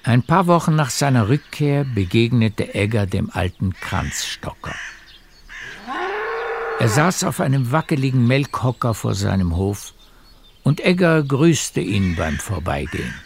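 A man narrating a literary radio play in a measured storytelling manner. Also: medium pitch, big pitch swings, gravelly, background noise. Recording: music behind the voice. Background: music.

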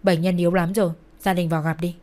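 A woman's voice: on the low side, little pitch movement, quick pace, darkish tone, natural voice. A woman voicing a grave hospital doctor in a story, firm and matter-of-fact. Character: grave, resigned hospital doctor delivering bad news.

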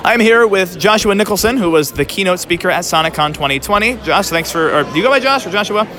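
A man's voice: high voice, expressive, fast talker. A man chatting casually as an interviewer at a convention. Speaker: podcast interviewer. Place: convention exhibition hall. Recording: busy convention crowd behind the voice.

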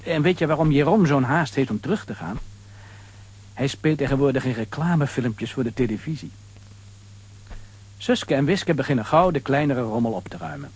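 A man narrating a scripted children's adventure story with a husky voice. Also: mid-range voice, moderate pace, long pauses, darkish tone, a little hiss or hum.

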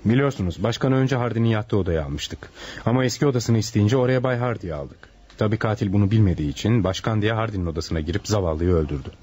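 Radio drama, male: urgent hospital junior, quick, lowish, slightly rough, businesslike. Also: expressive, darkish tone. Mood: urgent, certain. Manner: brisk, matter-of-fact, earnest. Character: urgent, analytical male hospital subordinate reporting murder. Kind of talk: radio play acting.

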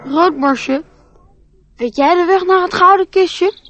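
An actress playing a dreamy, trusting young boy in a radio play, speaking in an earnest, halting way. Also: very high-pitched, fairly bright tone, soft background music, full character voice. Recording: slightly dull radio play recording.

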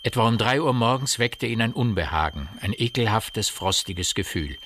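An actor playing a storyteller in a radio drama, narrating eerie village events in a deliberate, vivid way that addresses the listener. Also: male voice, lowish voice, fairly bright tone, slight background noise, close to an everyday voice. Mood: ominous.

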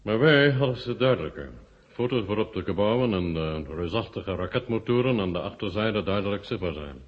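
A dull vintage radio recording of a man acting a Soviet marshal. His voice sits low, and he speaks in a formal, deliberate way, stern and guarded. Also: lively intonation, lightly acted. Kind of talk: Dutch radio play acting, 1970.

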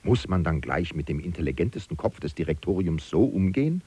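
A man playing a loyal, disillusioned political aide who muses and questions aloud to the listener in a German radio drama, with a gravelly voice. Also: lively intonation, brisk, warm tone. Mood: wryly indignant. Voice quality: gravelly, very deep. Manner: musing, chatty, rhetorical questions. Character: loyal, disillusioned male aide to a fallen politician, wryly indignant.

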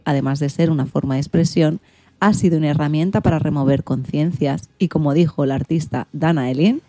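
A woman's voice: sits low, mellow, no room sound. A woman hosting a radio show, presenting from a prepared script. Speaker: host.

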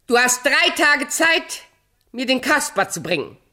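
A woman's voice: high-pitched, big pitch swings, unhurried, bright, forceful tone, full character voice. A woman playing a vain, imperious and scheming fairy-tale queen in a children's radio play, theatrical and commanding. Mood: angry, imperious.

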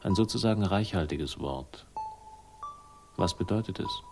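A man's voice: sits low, expressive, measured pace, vocal fry, music in the background, full character voice. An actor in a 1960s German radio drama playing a bitter, brooding man with a sardonic, ruminating manner. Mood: bitter musing.

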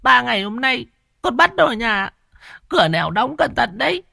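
A man voicing a stout, frantic village woman who wails and cries in a shrill, shouting voice. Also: sing-song, brisk, no echo, clean studio sound, heavily acted. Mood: wailing. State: crying.

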